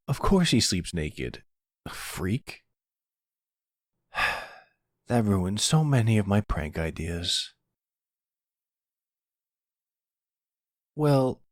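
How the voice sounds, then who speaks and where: An actor playing a sensitive, defensive college-age son in an audio roleplay, talking to his mother in a halting, boyish way with stammers. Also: mid-range voice, a little mellow, dry recording, studio quality. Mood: playful. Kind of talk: ASMR audio roleplay acting.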